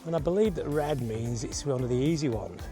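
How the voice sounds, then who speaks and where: A man's voice: medium pitch, lively intonation, a little fast, over music. A man giving casual, chatty commentary with wry understatement as he hikes uphill outdoors, sounding exerted from the climb. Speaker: travel vlogger and host. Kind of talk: casual walk-and-talk hiking commentary. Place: outdoors on a forest hiking trail.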